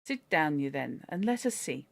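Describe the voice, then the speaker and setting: A woman's voice: deep voice, sing-song, moderate pace, creaky, full character voice. A woman voicing a tearful aristocratic lady who speaks in broken, formal English. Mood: fussy. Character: tearful aristocratic French lady, middle-aged.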